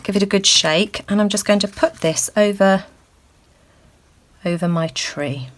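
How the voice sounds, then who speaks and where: Croaky woman chatting through a craft demo. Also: medium pitch, a little slow. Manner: chatty, thinks aloud, frequent ums. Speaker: craft tutorial host. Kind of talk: casual crafting demonstration. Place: indoors at a craft table.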